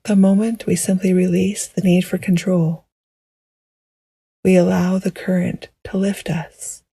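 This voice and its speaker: Breathy, slow-speaking woman guiding meditation. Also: fairly low pitch, no echo. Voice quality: breathy, soft, often near a whisper. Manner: gentle, hushed, unhurried, soothing. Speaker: meditation guide. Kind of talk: slow poetic guided meditation narration.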